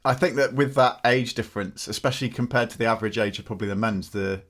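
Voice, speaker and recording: Man, medium-pitched podcast interviewer. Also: steady tone, fast talker, a bit bright. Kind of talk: casual interview chat.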